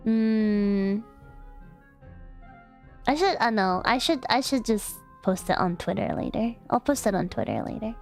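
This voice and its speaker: A woman's voice: mid-range voice, big pitch swings, a little slow. A young woman casually chatting on a livestream, giggly and rambling, with lots of fillers and restarts, playful and affectionate toward her chat. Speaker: streamer. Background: faint background music.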